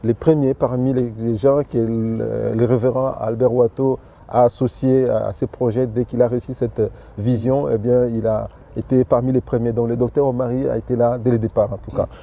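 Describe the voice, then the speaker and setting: A man's voice: mid-range voice, level tone, moderate pace, vocal fry, mellow, highs cut off. A man answering interview questions as the president of a church association, hesitating and repeating words.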